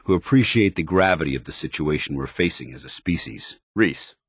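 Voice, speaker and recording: Stern military paladin for a video game, real deep voice, formal n commanding, earnest, dry studio sound. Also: male voice, animated, a little fast, slightly rough, dry recording, exaggerated voice. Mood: firm. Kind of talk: video game companion dialogue.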